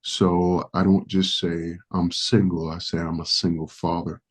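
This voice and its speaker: A man's voice: deep voice, little pitch movement, unhurried, slightly rough. A man from the Dominican Republic whose first language is Spanish, a pastor speaking as a guest in a podcast interview, earnest and reflective with some hesitations.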